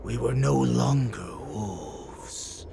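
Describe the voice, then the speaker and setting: A savage, wounded wolf warrior from a video game, proud and fanatical. The voice is a heavily creaking growl that drags each phrase out very slowly and swings widely in pitch over music. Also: male voice, lively intonation, background noise, full character voice. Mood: solemn devotion. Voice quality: gravelly, breathy growl. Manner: slow, solemn, dramatic delivery.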